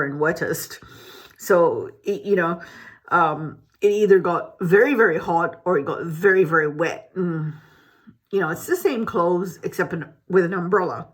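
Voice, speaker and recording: A woman's voice: fairly low pitch, breathy edge. A woman who grew up in Malaysia, chatting to camera at home in a rambling, casual way with many restarts. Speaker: beauty vlogger. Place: home, indoors.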